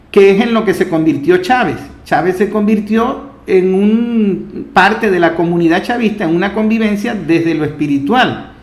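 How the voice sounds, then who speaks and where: A man hosting a radio talk, explaining reflectively and building emphatic lists. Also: sits high. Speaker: radio host and interviewer. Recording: echoey room sound.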